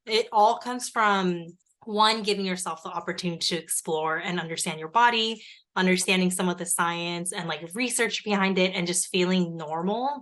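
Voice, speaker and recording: Podcast guest: young woman, native Korean speaker, bright and chatty, many fillers. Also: lowish voice. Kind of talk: casual podcast interview.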